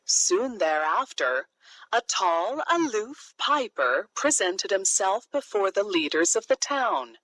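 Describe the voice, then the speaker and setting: A woman narrating a children's fairy tale from a script, in an expressive, deliberate storytelling manner. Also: medium pitch, dry recording, low-quality recording.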